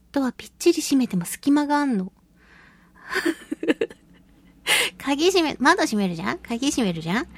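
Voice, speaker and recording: A woman hosting a radio show solo, chatting casually and playfully to listeners with drawn-out words and colloquial asides. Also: sits high, breathy edge, no room sound. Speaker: radio show host, voice actress.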